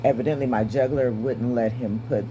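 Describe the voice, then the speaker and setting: A woman in her fifties with a raspy, strained voice and short breath, giving a candid personal update. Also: a bass voice, steady tone, moderate pace, highs cut off, noisy background. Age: 50s. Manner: candid, wry, stays positive. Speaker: vlogger. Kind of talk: casual personal update to camera.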